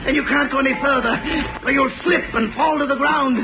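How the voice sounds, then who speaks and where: An actor playing a crazed, vengeful poisoner in 1930s radio melodrama, ranting and taunting. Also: male voice, very high-pitched, steady tone, a little fast, noisy background. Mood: enraged, frantic. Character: crazed, vengeful male poisoner with a maniac's strength. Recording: old tinny 1930s radio broadcast, loud music.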